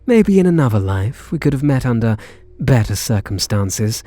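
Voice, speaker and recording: A British man playing a charming, teasing kidnapper who is softening toward his captive, in an intimate ASMR roleplay. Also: mid-range voice, sing-song, moderate pace, no echo, lightly acted. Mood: wistful, teasing. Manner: teasing, flirtatious, murmuring pet names.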